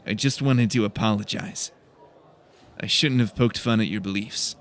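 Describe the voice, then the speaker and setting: A man's voice: lowish voice, moderate pace, lightly acted. A man plays a young male student in a fantasy audiobook, earnest and a little wry. He speaks plainly, with a self-deprecating manner. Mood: awkward, apologetic. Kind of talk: audiobook character dialogue.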